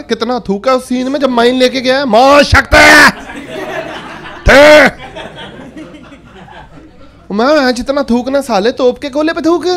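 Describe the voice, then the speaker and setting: High-voiced man doing stand-up comedy. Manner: animated, acts out quotes in shifting voices. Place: comedy club stage before a live audience. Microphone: handheld stage microphone. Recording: live club audience laughter.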